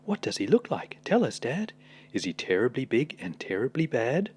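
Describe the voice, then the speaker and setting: A man voicing a brave, curious young monster daughter in a storybook, with excited, wide-eyed questions. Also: mid-range voice, normal speed, slightly rough. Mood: eager, pestering.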